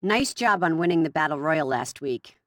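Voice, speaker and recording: An actress playing a businesslike woman who directs a wrestler in a video game story scene, brisk and directive. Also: deep voice, expressive, heavy background noise.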